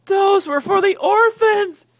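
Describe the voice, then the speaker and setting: A man voicing an excitable, easily shocked aunt from a children's storybook, with dramatic delivery. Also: slow pace. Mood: shrieking outrage. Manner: dramatic, shrill outbursts and breathy asides.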